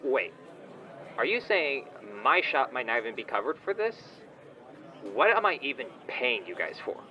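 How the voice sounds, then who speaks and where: A man's voice: mid-range voice, big pitch swings, moderate pace, faint music behind, lightly acted. A man playing a smug, entitled online shop owner on a phone call in a comedy audio drama, whiny, condescending and petulant in casual slang. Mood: petulant, exasperated. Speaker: caller, complaining customer.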